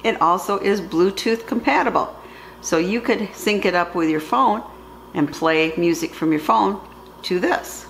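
A woman's voice: mid-range voice, a bit bright. A middle-aged woman giving a casual hands-on product demonstration in a plain-spoken, explanatory way, recorded in a home kitchen with the camera a few feet away. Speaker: product reviewer. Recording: roomy echo, faint background noise.

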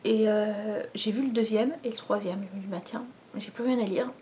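A woman's voice: mid-range voice, steady tone, normal speed, mellow. Woman in her thirties, chatty rambling home vlog, camera mic, faint room hum. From France. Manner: chatty, rambling, many fillers and restarts. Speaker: knitting vlogger. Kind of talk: casual chat to camera. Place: living room at home, indoors. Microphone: camera in front, head and shoulders.

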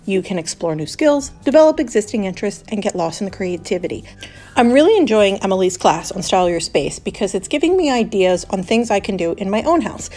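A woman in her thirties chatting through a voice-over for her home cleaning vlog, relaxed and friendly, with the odd small laugh. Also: mid-range voice, brisk. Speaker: homemaking vlogger.

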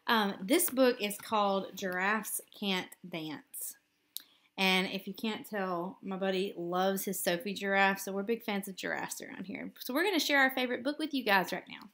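A woman in her thirties reading a picture book aloud at home to young children in an expressive, sing-song way. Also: mid-range voice, moderate pace. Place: small living room at home, indoors.

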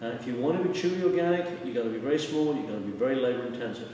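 A man's voice: mid-range voice, animated, rapid-fire, warm tone, distant mic, a little hiss or hum. Blunt older man in an echoey room. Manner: blunt, emphatic, repeats words while thinking. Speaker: winery owner and winemaker. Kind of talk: casual interview. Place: large winery tasting room, indoors. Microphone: handheld mic, wide camera.

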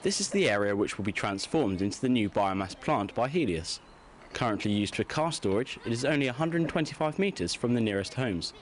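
A man's voice: medium pitch, expressive, fairly quick, a little hiss or hum. A man reading a scripted news report as a reporter.

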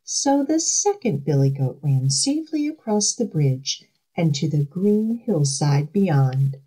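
Middle aged lady doing kids storytime, really animated, takes her time. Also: deep voice, husky, low-quality recording. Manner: expressive, deliberate, simple phrasing for young children. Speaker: children's library storyteller. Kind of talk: children's storytime reading and instruction.

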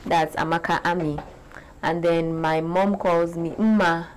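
A woman's voice: fairly low pitch, a little slow, slight background noise, low-quality recording. Chatty young Nigerian woman vlogging. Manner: animated, chatty, gestures a lot. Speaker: beauty vlogger. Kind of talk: casual direct-to-camera vlog. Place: small bright indoor room.

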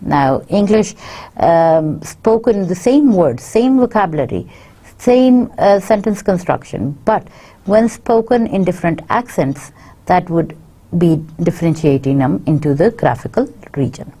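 A middle-aged woman from Pakistan with a Pakistani accent, giving a recorded university lecture and switching between slow English explanations and quick Urdu asides. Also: fairly low pitch, expressive, mellow, slightly rough. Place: studio set with dark backdrop. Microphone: fixed camera, seated mid shot.

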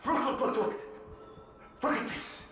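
An actor playing an unhinged, grieving scientist in a video game, agitated and pleading, with halting speech. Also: male voice, fairly bright tone, lightly acted. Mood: urgent. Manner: agitated, halting, swinging to murmurs. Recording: echoey, reverberant game dialogue with music.

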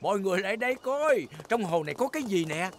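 Animated grandpa pig in a cartoon. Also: male voice, high-pitched, quick pace, slight background noise. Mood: explaining warmly. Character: cheerful, playful grandfather pig, hammy and dramatic. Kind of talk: Vietnamese-dubbed children's cartoon acting.

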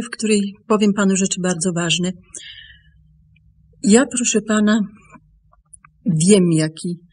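An actress playing a grave, composed woman with a secret to confess in a radio play, speaking deliberately with pauses. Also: mid-range voice, darkish tone, slightly rough. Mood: grave, reluctant. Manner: deliberate, measured, broken by pauses.

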